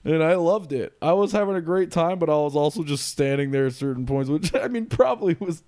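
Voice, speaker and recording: Man, late twenties, podcast co-host, casual rambling chat, frequent laughter, loose swearing, slightly high voice. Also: lively intonation, a little fast, darkish tone. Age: late 20s.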